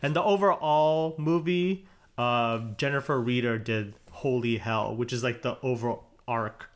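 A man hosting a casual podcast chat, talking fast and laughing easily as he moves the talk along. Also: medium pitch, clean tone. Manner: quick, laughing, leads the topics. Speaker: podcast host.

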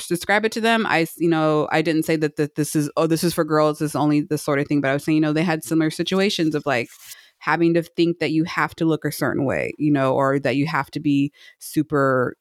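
A middle-aged woman co-hosting a podcast in casual conversation, explaining her thoughts earnestly in a rambling, halting way. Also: low-pitched, steady tone, no room sound, studio quality. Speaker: podcast co-host. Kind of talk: casual chat, reviewing a book.

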